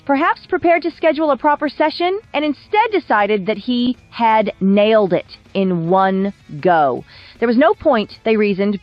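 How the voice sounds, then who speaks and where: A woman's voice: on the high side, no echo, muffled recording. A woman reading scripted documentary narration in a polished, wry storytelling manner over music. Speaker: video essay narrator.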